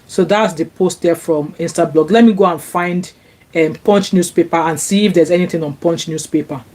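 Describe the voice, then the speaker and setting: A woman's voice: lowish voice, normal speed, darkish tone. A Nigerian woman whose first language is Yoruba, chatting casually on a live stream as she reads viewer comments, with many fillers and restarts. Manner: chatty, frequent fillers and restarts, rises when indignant. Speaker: vlogger reading viewer comments. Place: home, sunny Glasgow. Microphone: laptop.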